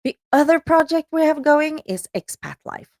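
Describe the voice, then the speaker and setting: Lively Swedish-accented woman host. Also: high voice, moderate pace, no room sound, studio quality. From Sweden. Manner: upbeat, chatty, with frequent ums. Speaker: podcast host. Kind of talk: solo promotional announcement.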